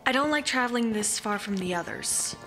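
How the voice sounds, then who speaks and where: A woman's voice: on the high side, expressive, fairly quick, sharp tone. An actress playing a cautious female adventurer in a fantasy audio drama, speaking plainly and formally. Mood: plain, concerned. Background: music.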